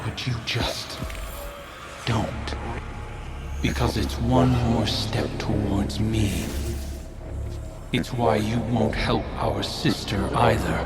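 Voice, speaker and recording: An actor playing a taunting, bitter spectre of a fallen prince in a video game, sardonic and theatrical. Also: male voice, fairly low pitch. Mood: sinister. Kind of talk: dramatic video game acting. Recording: loud music under the voice.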